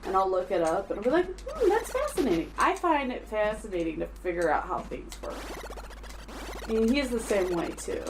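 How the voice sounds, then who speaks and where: A woman's voice: mid-range voice, very animated, normal speed, slight background noise. A woman chatting casually and teasingly while watching a retro game being played. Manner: playful, teasing, giggly. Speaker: co-host watching a playthrough. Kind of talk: casual gaming chat.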